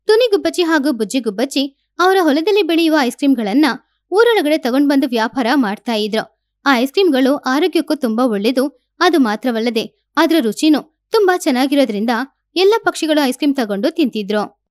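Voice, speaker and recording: A woman reading scripted children's cartoon stories in an expressive storytelling manner. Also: high voice, a little fast, dry recording.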